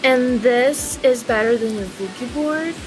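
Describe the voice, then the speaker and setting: A carefree teenage girl acted by a woman in a drama table read. Her voice is high and sweet, she takes her time over the words, and music plays clearly behind her. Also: measured pace, lightly acted, poor audio. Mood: cheerful. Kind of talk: film script table read.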